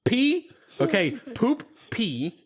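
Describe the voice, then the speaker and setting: A man's voice: very high-pitched, huge pitch swings, slow pace, crowd noise. A man chatting casually with young kids, asking questions and repeating their answers back. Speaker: host interviewing his children.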